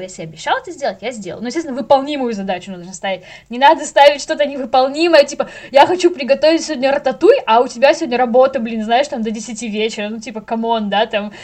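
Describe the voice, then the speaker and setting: Fast-talking, high-voiced woman chatting. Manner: animated storyteller, acts out little inner dialogues; slangy, drops in English words. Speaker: podcast guest, animator. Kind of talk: casual podcast chat.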